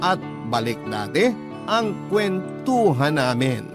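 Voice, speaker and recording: A man's voice: on the high side, big pitch swings, slow, over loud music. A male actor narrating as a young Filipino man sincerely recounting his love story in a radio drama. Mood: reflective. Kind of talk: first-person radio drama letter narration.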